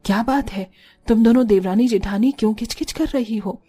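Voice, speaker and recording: A woman's voice: on the high side, normal speed, mellow, slightly rough, faint music behind. A woman voicing an older mother-in-law, a homely, concerned family matriarch in a cartoon story. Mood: admonishing. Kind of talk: Hindi cartoon story acting.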